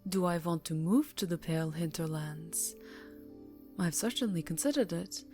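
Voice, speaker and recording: A woman voicing an elegant, imperious yet tenderly seductive vampire countess in an intimate ASMR roleplay, speaking formally and softly, breathy and close to the mic, drifting into whispers. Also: on the low side, moderate pace, soft background music, close to an everyday voice. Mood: indulgent, wistful. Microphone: close microphone.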